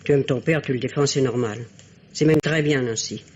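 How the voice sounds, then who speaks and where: A woman playing a stern but fond aristocratic old grandmother in a radio drama, speaking in a formal, admonishing, imperious way with a husky voice. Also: rumbling low, a little fast, darkish tone, a little hiss or hum. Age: older. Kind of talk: French radio drama acting. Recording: dull, muffled old radio recording.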